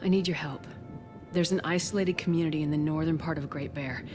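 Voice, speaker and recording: A secretive, determined doctor, voiced by a woman, low-pitched and urgent, who dodges questions. Loud music plays behind her in the game cutscene. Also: fairly even intonation, fairly quick, lightly acted. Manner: urgent, firm, evasive. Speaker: game character.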